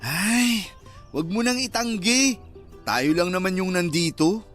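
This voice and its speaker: A man's voice: high voice, slow, fairly bright tone. A man playing a teasing, sulky young male best friend in a bantering radio drama style. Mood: playful teasing. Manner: bantering, playfully sarcastic. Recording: music bed behind the voice.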